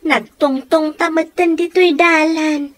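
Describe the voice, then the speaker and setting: A woman's voice: very high voice, fairly even intonation, slow pace, fairly bright tone, exaggerated voice. A woman acting in an Ilocano comedy radio drama, with an Ilocano Filipino accent. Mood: conversational.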